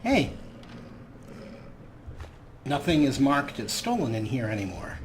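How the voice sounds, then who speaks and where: A man's voice: mid-range voice, big pitch swings, slow pace, heavy background noise. A man giving casual, playful, improvised commentary as he plays a video game, often talking to the characters. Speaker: let's play host. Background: video game ambience.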